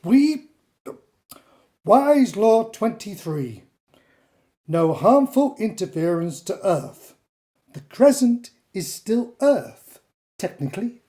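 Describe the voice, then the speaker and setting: A woman playing a stern, condescending authority figure in a radio drama, measured, formal and dismissive. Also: mid-range voice, very animated, dark tone. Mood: cold, commanding. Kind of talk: audio drama acting.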